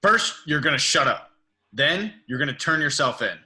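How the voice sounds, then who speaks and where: A man acting a stern but earnest masked vigilante in a superhero table read, speaking deliberately. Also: a little high, lively intonation, sharp tone. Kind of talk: amateur table read of a superhero film script.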